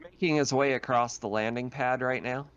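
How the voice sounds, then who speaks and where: A man's voice: mid-range voice, fairly even intonation, moderate pace, a bit bright, clean tone, dry recording, highs cut off. A man narrating a tabletop roleplaying game as game master, explaining scenes in a conversational way.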